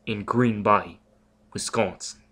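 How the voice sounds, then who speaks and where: A man's voice: fairly low pitch, takes it slowly, pushed and bright, breathy edge, exaggerated voice. A man reading aloud, voicing a hulking, uneducated young man who talks bluntly in broken grammar and menaces a woman. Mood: menacing.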